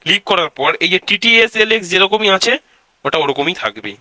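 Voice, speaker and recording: A man's voice: sits high, animated, brisk, bright, forceful tone, dry recording. A man hosts a casual step-by-step tech tutorial, addressing viewers directly and repeating his instructions.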